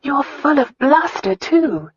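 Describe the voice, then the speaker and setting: A woman voicing a spirited, candid young wife with an earnest, expressive way of talking in a romance audiobook. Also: sits high, takes it slowly, dry recording, lo-fi sound, pitched above the performer's own voice, full character voice.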